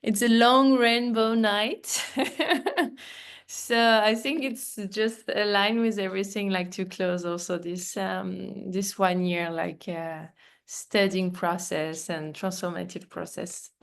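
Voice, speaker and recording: Female course participant, noticeable vocal fry, casual and reflective, slightly hesitant phrasing. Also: medium pitch, takes it slowly.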